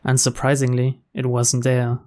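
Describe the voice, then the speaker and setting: A man's voice: mid-range voice, monotone, moderate pace. A man reading a visual novel's story aloud as scripted narration. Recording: soft music behind the voice.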